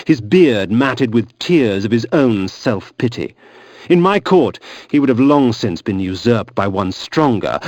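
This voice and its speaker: A proud undead nobleman narrating a game. His mid-pitched voice rises and falls dramatically, haughty, grandiloquent and full of bitter disdain. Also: a little mellow, no room sound, lo-fi sound. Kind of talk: theatrical video game narration.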